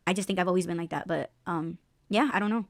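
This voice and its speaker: A woman hosting a podcast in casual chat, loud in tone, laughing often and swearing freely, with little bass in her voice. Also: fast talker, vocal fry, no room sound, studio quality. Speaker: podcast host.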